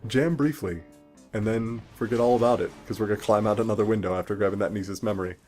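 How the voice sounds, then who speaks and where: A man's voice: on the low side, fast, darkish tone. Man explaining a game over music. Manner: explanatory, conversational. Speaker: gaming video host. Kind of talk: casual game commentary.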